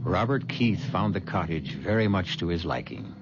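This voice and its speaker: A man hosting and narrating a 1970s radio mystery in a measured, ominous storytelling style. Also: low voice, lively intonation. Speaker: show host and narrator. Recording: dull vintage radio with music.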